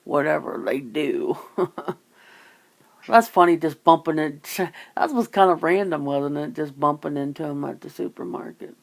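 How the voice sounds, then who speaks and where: A woman's voice: low-pitched, unhurried, gravelly. A woman in a casual, rambling chat, musing informally as she hosts. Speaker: ASMR channel host chatting with a guest.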